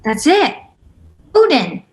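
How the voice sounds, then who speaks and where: A woman's voice: high-pitched, very animated, takes it slowly, darkish tone, full character voice. A woman playing a frantic, insistent young woman who believes she is a ghost, in a script table read. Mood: defensive, wry.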